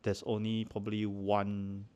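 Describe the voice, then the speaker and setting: A man in his thirties with a Malaysian accent, co-hosting a casual chat podcast from a living-room sofa on a handheld mic, relaxed and quick to laugh. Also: lowish voice, smooth, muffled recording. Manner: relaxed, chatty, laughs often, many fillers. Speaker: podcast co-host.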